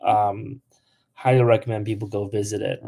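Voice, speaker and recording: Young male livestream host, casual, filler-heavy, mellow tone. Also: lowish voice, little pitch movement, normal speed, vocal fry. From Polish. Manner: chatty, lots of "like", blunt toward trolls. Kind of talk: casual livestream Q&A, reading comments.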